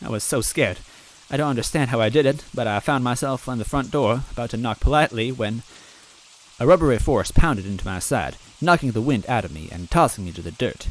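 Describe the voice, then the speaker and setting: A man's voice: mid-range voice, animated, a little fast, low-quality recording. A man reading a fan story aloud in first person, earnest and timid in tone. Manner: earnest and timid, self-deprecating. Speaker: story reader.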